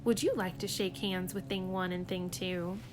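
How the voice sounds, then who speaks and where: A woman voicing two small, wild, mischievous storybook creatures with an eager, squeaky greeting. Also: fairly even intonation, normal speed, noisy background, natural voice.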